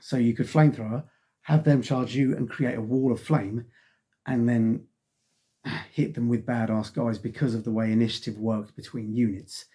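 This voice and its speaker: A middle-aged man talks through a hobby magazine review with a dark, husky voice that a cold has left a little worn. He rambles casually, sighing between thoughts and leaning on ums. The delivery is mellow and unhurried. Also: medium pitch, fairly even intonation. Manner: rambling, frequent sighs and ums. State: losing his voice from a cold. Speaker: tabletop wargaming magazine reviewer.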